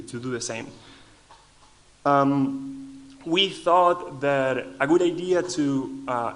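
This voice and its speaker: A man's voice: medium pitch, fairly bright tone. A man from Argentina with an Argentinian accent, a native Spanish speaker, giving a lightning talk at a conference and often restarting his phrases.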